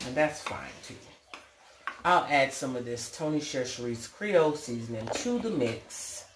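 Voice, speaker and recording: An American woman talks through a home cooking demonstration in a casual, folksy way. Her pitch moves around a lot while her pace stays slow. The room rings around her, with clear noise behind. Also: animated. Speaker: home cooking show host. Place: indoors in a home kitchen. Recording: roomy, clearly noisy home recording with clicks.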